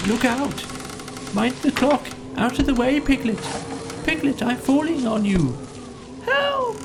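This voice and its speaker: A man's voice: very high-pitched, big pitch swings, slow pace, voice pushed up, heavily acted. A solemn, pompous owl from a children's tale, put on by a man. The voice is lifted well above a normal male register, with wordy, formal phrasing. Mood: panicked. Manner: pompous, long-winded, formal.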